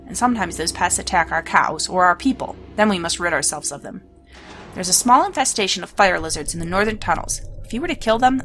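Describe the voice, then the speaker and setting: A woman's voice: fairly low pitch, a bit bright. A woman voicing a scheming male steward in a dragon's lair, reading his lines formally in a slightly raised voice.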